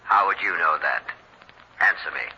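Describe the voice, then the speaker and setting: An actor playing a menacing, megalomaniac professor with a formal, gloating delivery in an old-time radio drama. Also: male voice, low voice, animated, moderate pace, gravelly, bright, forceful tone, breathy edge, full character voice. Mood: smug menace. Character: villainous professor, megalomaniac murderer posing as a vigilante. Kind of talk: 1940s radio drama acting. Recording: muffled old radio recording.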